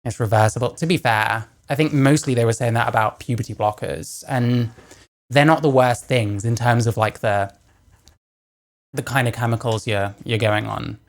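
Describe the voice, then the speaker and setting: A woman hosting a reaction video, chatting casually between clips and often trailing off into quiet asides. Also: a bass voice, fairly even intonation, moderate pace. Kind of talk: casual commentary over clips.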